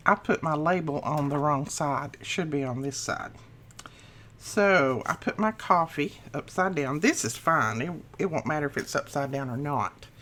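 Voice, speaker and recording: A middle-aged woman hosting a craft channel, chatting casually through a tutorial with a slightly rough morning voice, laughing at her own mistakes. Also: low-pitched.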